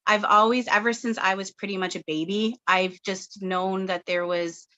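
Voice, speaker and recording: A woman's voice: medium pitch, steady tone, normal speed, bright, forceful tone, lo-fi sound. A woman in her thirties from Canada, a guest channeler talking in a rambling, earnest way with many fillers on a webinar. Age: 30s. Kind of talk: casual webinar chat.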